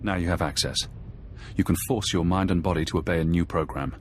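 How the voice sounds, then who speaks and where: Cold commanding mentor for a game, male actor: deep, clipped, urgent, faint noise behind. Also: fairly quick, lightly acted. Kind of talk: video game voice acting.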